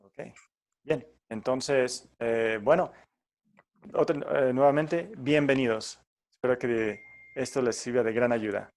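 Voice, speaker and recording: A man from Ecuador giving friendly but halting logistics instructions on a video call, with many fillers. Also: medium pitch, a little mellow. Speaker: tech support and co-host.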